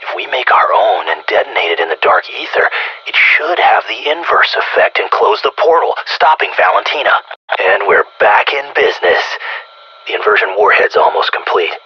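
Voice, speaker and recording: A smug, treacherous male scientist from a video game, speaks over a dull radio channel. His American voice is deep and heavily rasped. He sounds sardonic and condescending, pleased with his own cleverness. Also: very animated, moderate pace, exaggerated voice. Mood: intrigued, calculating. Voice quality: raspy, gravelly. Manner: condescending, sarcastic, self-congratulatory. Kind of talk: video game character voice lines. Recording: dull, band-limited radio-comms sound.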